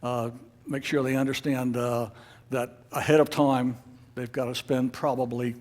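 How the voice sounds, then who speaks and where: Guy running a club meeting, mid-pitched voice, going through announcements off the cuff, kinda halting. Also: flat delivery, darkish tone. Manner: halting, hesitant, unscripted delivery. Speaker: club officer leading a meeting. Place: club meeting room with an audience.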